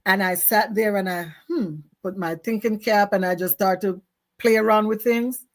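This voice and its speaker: A woman's voice: medium pitch, steady tone, normal speed. A woman hosting a talk show, chatting in an upbeat, casual way and laughing often.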